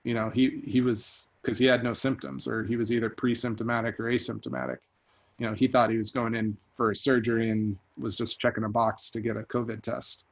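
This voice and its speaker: A vacation rental host on a group call, telling a story at a measured pace with frequent restarts. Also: male voice, medium pitch. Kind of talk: casual group call chat. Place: online group video call.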